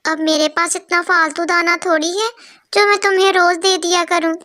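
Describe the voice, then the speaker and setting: A woman's voice: extremely high pitch, flat delivery, normal speed, sharp tone. A woman voicing a grudging, fed-up male crow in a cartoon story, scolding and reluctant.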